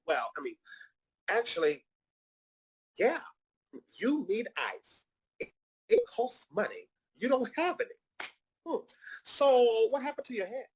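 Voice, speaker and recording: Comedy table read: male actor as sardonic, pointed straight-talker, animated, rough-edged husky voice, dull lo-fi recording. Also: very high-pitched, slow, long pauses, exaggerated voice. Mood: teasing. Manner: sarcastic, pointed, conversational.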